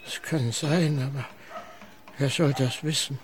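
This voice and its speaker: An actor playing a fearful, grumbling old village priest in a radio drama, giving anxious warnings. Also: male voice, medium pitch, steady tone, measured pace, noisy background, close to an everyday voice. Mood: anxious, evasive. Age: older. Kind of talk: German radio drama acting.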